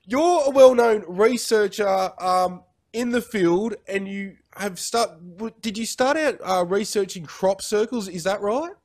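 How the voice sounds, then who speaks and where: A man's voice: sits high, unhurried, few pauses, a little mellow. A man with a broad Australian accent hosting a casual interview, friendly and chatty, laughing easily. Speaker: podcast host.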